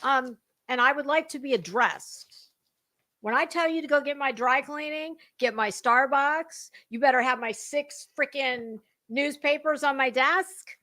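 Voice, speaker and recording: A woman's voice: sits high, a little slow, sharp tone. A woman playing an imperious fashion magazine boss, haughty, bossy and emphatic. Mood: imperious, demanding.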